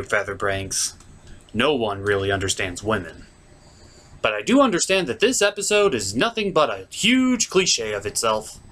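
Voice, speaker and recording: A man's voice: medium pitch, a bit bright. A man hosting a cartoon review, giving casual, sarcastic and sometimes crude commentary. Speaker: cartoon review show host.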